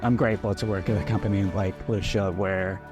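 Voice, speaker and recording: Man, American, calm sincere testimonial, low pitch, soft music. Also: a little fast. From United States. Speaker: employee. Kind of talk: interview testimonial.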